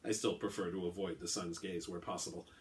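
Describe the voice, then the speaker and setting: A man's voice: deep voice, little pitch movement, brisk, pitched below the performer's own voice, natural voice. A man voicing a suave, haughty centuries-old vampire with formal, pompous phrasing, in a dramatic story reading. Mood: dry, amused. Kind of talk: dramatic reading of an all-dialogue short story.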